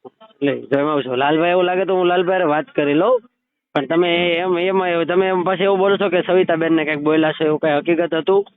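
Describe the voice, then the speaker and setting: A man's voice: a little high, steady tone, fast talker. A man chatting casually on a recorded phone call, often checking that the listener agrees. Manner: repeatedly seeks agreement with tag questions. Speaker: caller.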